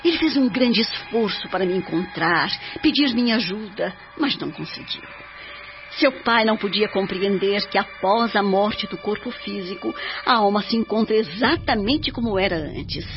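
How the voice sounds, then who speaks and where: A Brazilian woman plays a serene, motherly spirit from a radio drama. She speaks with grave tenderness, measuring every phrase at a medium pitch. The sound is a little dull, with music behind her. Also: expressive, natural voice. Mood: grave, explaining. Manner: solemn, measured, edifying delivery. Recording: dull lo-fi audio under music.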